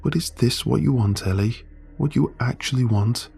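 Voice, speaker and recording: A man's voice: mid-range voice, normal speed, gravelly, dark tone, breathy edge, voice pushed up. A man voicing an earnest teenage boy who pleads urgently with a friend. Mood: pleading.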